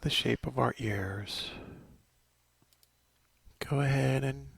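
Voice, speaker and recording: A middle-aged man leading a guided body scan meditation, slow and soothing, often sinking into a whisper. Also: medium pitch, gravelly, a bit bright, breathy edge, no echo.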